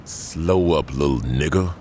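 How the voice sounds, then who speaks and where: An actor playing a calm, menacing gang kingpin in a video game, gravelly and commanding in street slang. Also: male voice. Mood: stern, controlled. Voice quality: deep gravelly rumble. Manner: slow, authoritative, street slang. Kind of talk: video game cutscene acting.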